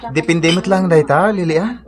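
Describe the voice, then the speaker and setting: A man's voice: fairly high pitch, moderate pace, crowd noise, natural voice. Male actor: young Ilocano suitor, earnest, unsure of himself, radio drama. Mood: explaining.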